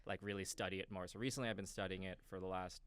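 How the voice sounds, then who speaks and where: A man, a young adult, talking as a podcast guest in a relaxed, self-deprecating way with many hedges. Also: sits low, very fast, smooth, dry recording. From New York, United States. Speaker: podcast guest, marketing executive. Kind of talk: casual interview conversation.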